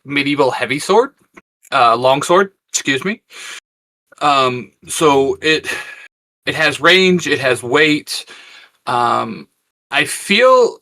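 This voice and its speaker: A man's voice: a little high, sharp tone. A man co-hosting a casual review, speaking in an emphatic, opinionated, conversational way. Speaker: co-host reviewer.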